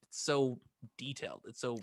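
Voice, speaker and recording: A man's voice: medium pitch, steady tone, moderate pace, no room sound, studio quality. A man co-hosting a podcast, chatting casually and earnestly about books and repeating himself for emphasis. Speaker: podcast co-host. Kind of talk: casual book chat.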